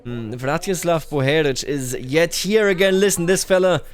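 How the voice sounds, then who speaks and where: Higher-voiced man commentating a strategy game. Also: normal speed. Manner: thinks aloud, addresses game characters directly. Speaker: gaming video host.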